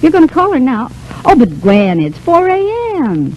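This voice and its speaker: An actress playing a quick-thinking, bossy, protective showgirl friend in a vintage radio soap, talking briskly and chattily. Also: a little high, big pitch swings, a little mellow. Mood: breezy, bluffing. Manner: brisk, chatty, takes charge. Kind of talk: 1930s-40s radio soap opera acting. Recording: muffled, hissy vintage radio recording.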